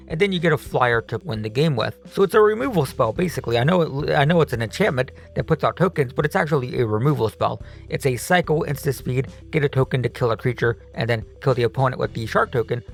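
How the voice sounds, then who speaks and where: A man's voice: on the high side, gravelly. A man with a voice he says he is losing, casually explaining deck upgrades in a chatty voice-over that often repeats itself. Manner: chatty, hedging, repeats himself. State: losing his voice. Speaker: card game deck upgrade guide host.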